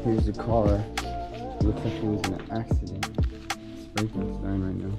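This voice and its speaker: A man's voice: on the low side, level tone, normal speed, vocal fry. A young man losing his voice from being sick, talking casually and swearing as he films himself on an action camera while working on a car. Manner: loose, chatty, mumbles while working. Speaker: car project vlogger. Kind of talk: casual self-filmed vlog, swearing. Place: inside a parked car, then a home garage. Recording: loud background music over camera audio. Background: background beat music.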